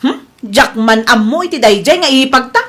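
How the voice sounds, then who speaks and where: An actress in a radio drama playing a pushy, scheming sister-in-law who talks in an insistent, coaxing way. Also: female voice, sits high, animated, normal speed, fairly bright tone, breathy edge, full character voice.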